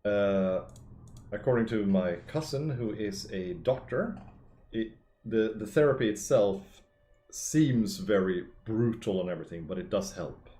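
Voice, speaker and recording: A man streaming a video game, talking casually and excitably, with a gravelly, hoarse voice from shouting. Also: on the low side, a little slow. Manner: chatty, excitable, reading lore aloud. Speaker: gaming streamer. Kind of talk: casual gameplay commentary. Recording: echoey room with faint noise.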